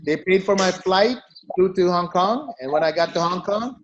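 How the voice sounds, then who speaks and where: A middle-aged man from the Philippines recounts his story with emphasis, leaning on "you know" and "okay?" as he talks. His voice sits a bit high for a man, with faint noise behind him. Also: moderate pace, lo-fi sound. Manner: emphatic, repeats for stress, peppers talk with "you know" and "okay?". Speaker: company CEO. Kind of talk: personal story in an interview.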